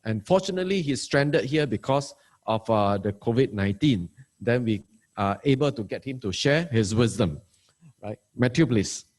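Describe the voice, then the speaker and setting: A man's voice: medium pitch, lively intonation, moderate pace, a little mellow. A male moderator summing up points and introducing speakers politely. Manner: summarizing, polite, "kind of" fillers.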